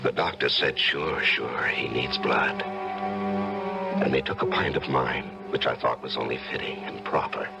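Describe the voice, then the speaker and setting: Very deep, gravelly murderous judge. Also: male voice, expressive, full character voice, rough recording. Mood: brooding confession. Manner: deliberate, brooding, theatrical, halting when evasive. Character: unhinged murderous male judge, secretive, coolly self-justifying. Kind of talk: old-time radio drama acting with confessional narration. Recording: radio drama with music underneath.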